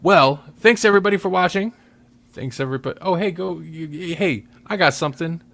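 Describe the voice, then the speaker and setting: A man's voice: on the high side, brisk, creaky, a bit bright. A man hosting a podcast in casual chat, rambling loosely as he searches for his words. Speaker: podcast host.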